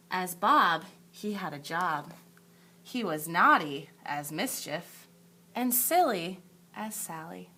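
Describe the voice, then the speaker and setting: A woman teacher reading a picture book aloud to young children in a deliberate, emphatic storytelling way, with asides to her pupils. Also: medium pitch, a bit bright, a little hiss or hum. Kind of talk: expressive picture-book read-aloud for second graders.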